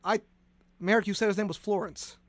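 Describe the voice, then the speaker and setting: A man hosting a comedic radio show, excitable and stammering through restarts, now and then shouting. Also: high voice, fairly quick, no room sound.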